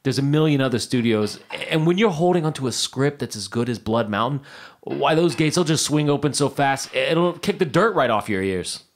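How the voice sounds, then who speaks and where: An actor playing an eager, deluded struggling screenwriter in a period audio drama, fast-talking and stammering as he pleads. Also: medium pitch, animated, lightly acted. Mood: defensive, hopeful.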